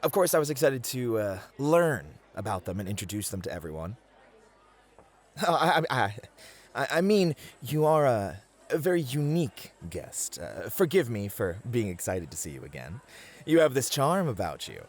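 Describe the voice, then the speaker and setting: A man's voice: medium pitch, very animated, normal speed. A man playing a sweet, easily flustered bunny host in an ASMR roleplay, speaking politely and a little bashfully. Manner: stammering, bashful, polite, accommodating.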